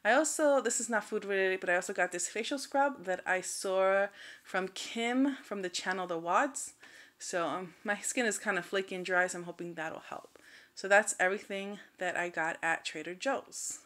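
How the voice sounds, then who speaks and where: Woman, thirties, medium pitch, chatty, straightforward, casual vlog delivery, home recording. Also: normal speed, a bit bright. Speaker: vlogger. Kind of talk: casual grocery haul vlog. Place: indoors at home.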